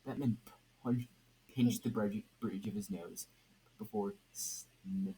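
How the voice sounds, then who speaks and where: Young male amateur reader, slow and halting, soft dark tone, noisy home recording. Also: on the low side, fairly even intonation. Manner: halting, stumbles over words and repeats them. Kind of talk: reading a story aloud.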